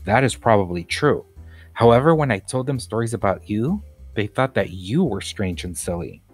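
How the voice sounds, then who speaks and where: A man playing a calm art-teacher storyteller. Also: lowish voice, normal speed, slightly rough, no echo, soft background music.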